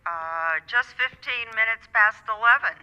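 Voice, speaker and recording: An actor playing a polite, unruffled clerk in a radio drama, heard through a telephone effect. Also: male voice, sits high, unhurried, bright, forceful tone, a little hiss or hum, full character voice. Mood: polite, unconcerned. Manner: polite, noncommittal, formal. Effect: telephone filter. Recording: dull phone filter with long reverb.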